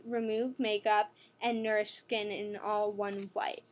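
A teenage girl from New chatting rambly about her skin products in her bedroom, recorded on a webcam. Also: a little high. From New York City. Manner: rambling, chatty, with many fillers and self-corrections. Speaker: beauty vlogger. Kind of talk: casual product-review vlog. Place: bedroom at night, indoors. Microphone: webcam at desk.

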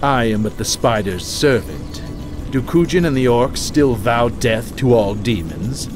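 An actor playing a cold, dignified necromancer high lord in a fantasy game, speaking formally and with command. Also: male voice, very animated, a little slow. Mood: dignified, composed. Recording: music behind the voice. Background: game music.

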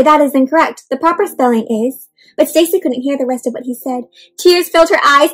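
A young woman reading a picture book aloud to children in an animated, interactive way, stopping often to ask her young listeners questions. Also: high voice, poor audio. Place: indoors in front of a leafy backdrop.

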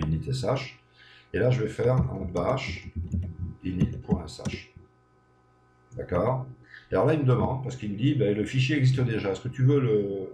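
A man giving an unscripted screencast lesson, thinking aloud with many hesitations and frequent checks that the listener is following. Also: deep voice. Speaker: programming tutor. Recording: echoey room with faint hum.

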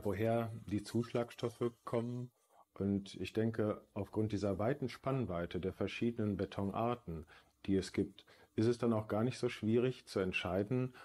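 A man's voice: lowish voice, warm tone. A man giving an expert interview in an explanatory, matter-of-fact way. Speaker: forensic materials expert.

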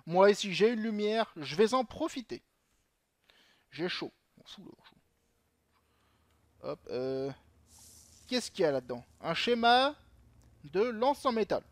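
A man's voice: sits high, very animated, takes it slowly, long pauses, no room sound. A young man commenting on his gameplay in a chatty way, swearing often and talking to himself. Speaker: gaming channel host.

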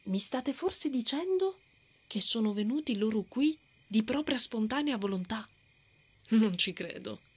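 A woman voicing a brave, hot-tempered female knight with a secret soft heart, in an ASMR roleplay, blustering and easily flustered. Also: on the high side, lively intonation, unhurried. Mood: indignant, confrontational. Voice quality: breathy, often dropping to a whisper. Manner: tsundere, blustering, flustered stammering.

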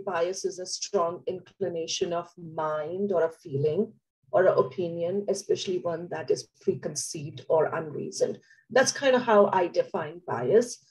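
A woman's voice: lowish voice, darkish tone, low-quality recording. Woman with a lingering cough, explaining. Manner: deliberate, explanatory. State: recovering from a cough, under the weather. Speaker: guest, tech community co-founder. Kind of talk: video call interview. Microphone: webcam on a video call.

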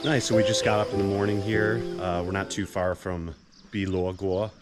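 A man's voice: deep voice, flat delivery, moderate pace, over loud music. An American man in his thirties chatting casually as the host of an outdoor travel vlog. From United States. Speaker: vlog host, hiking guide. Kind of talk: casual morning vlog chat. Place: outdoors at a rural guesthouse. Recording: faint outdoor background noise.

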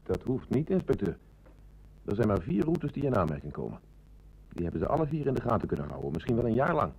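A man's voice: on the low side, big pitch swings, fast talker, darkish tone, slightly rough, heavily acted, low-quality recording. A man acting a level-headed, respectful police sergeant who doubts things, in a Dutch radio play. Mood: sober, doubting.

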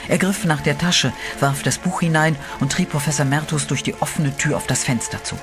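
A man narrating a horror radio play in a steady, dramatic storytelling voice, with eerie music behind him. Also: fairly high pitch, brisk.

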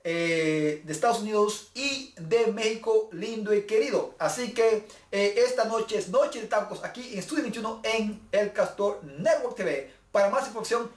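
Upbeat man hosting a kitchen show. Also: sits high. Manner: showman host patter, switching between two languages. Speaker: cooking show host. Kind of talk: bilingual cooking vlog. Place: small home kitchen, indoors. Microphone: camera mic, several feet away. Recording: echoey kitchen with faint music.

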